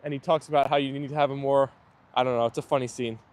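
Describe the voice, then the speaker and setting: A man's voice: mid-range voice, fairly even intonation, fast. A man hosting a casual live stream outdoors, chatting in a friendly way and answering viewer comments.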